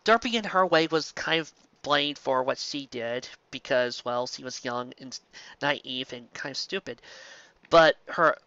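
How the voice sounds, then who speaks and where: A man hosting a fan fiction series, narrating and commenting casually in an enthusiastic, halting, stumbling way. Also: mid-range voice, sharp tone, smooth, no echo, lo-fi sound.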